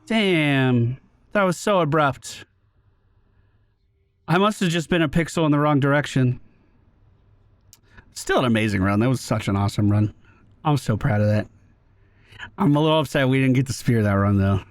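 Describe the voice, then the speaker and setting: A man's voice: mid-range voice, moderate pace, long pauses, no echo. A man giving casual gameplay commentary, talking to himself and his viewers and swearing now and then. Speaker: gaming streamer.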